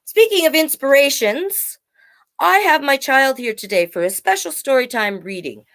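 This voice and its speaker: A woman hosting a children's storytime in a chatty, animated way, often putting questions to the listeners. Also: high voice, normal speed. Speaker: storytime host, drag performer.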